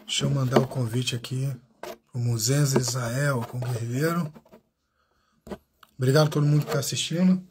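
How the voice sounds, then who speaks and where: A man's voice: mid-range voice, fairly even intonation, moderate pace, long pauses, noisy background. A Brazilian man hosting a live interview stream, chatting casually, thanking viewers by name and often restarting his sentences. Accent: Brazilian Portuguese. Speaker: channel host.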